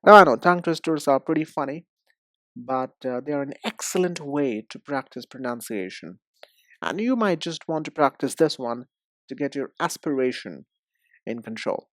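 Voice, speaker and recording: A man's voice: medium pitch, animated, fairly bright tone, no echo. Male English teacher, close dry sound. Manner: deliberate, didactic, addresses the learner directly. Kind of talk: instructional lesson with demonstrations.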